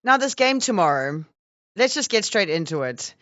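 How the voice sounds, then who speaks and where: Female interviewer, mid-pitched voice. Chatty and playful, on a dry, slightly muffled lo-fi recording. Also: sing-song, moderate pace, a bit bright, dry recording. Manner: informal, playful. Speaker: interviewer, host. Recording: dry, slightly dull lo-fi sound.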